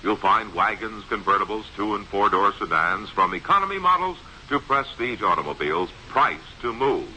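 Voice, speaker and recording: A man's voice: lowish voice, lively intonation, normal speed, hardly any pauses, bright, forceful tone, low-quality recording. A man with an American accent reading a scripted 1960s radio advertisement in a polished, salesmanlike way. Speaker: radio commercial announcer. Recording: hissy vintage radio recording.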